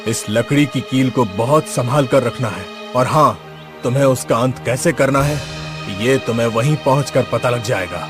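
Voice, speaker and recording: A man voicing a wise, mysterious holy sage who instructs solemnly in an animated story. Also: medium pitch, moderate pace, over music, lightly acted. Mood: instructing. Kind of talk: animated story character acting.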